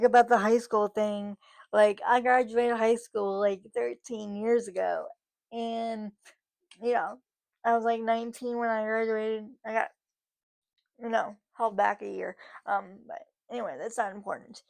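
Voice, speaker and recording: Woman, 30s, vlogger, casual rambling monologue, slightly high voice, unhurried delivery. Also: flat delivery, creaky, a little mellow. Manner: rambling, many fillers and restarts.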